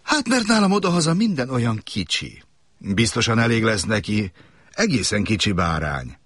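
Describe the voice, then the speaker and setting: A man voicing a small, gentle boy prince from a tiny planet, speaking high and full of wonder. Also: big pitch swings, breathy edge, no echo, full character voice.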